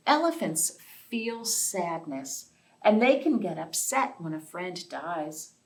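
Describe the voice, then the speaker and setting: A woman's voice: mid-range voice, creaky. A woman hosting a children's storytime, reading aloud and chatting simply to young listeners, with questions and asides. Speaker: library storytime host. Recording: distant, off-mic voice.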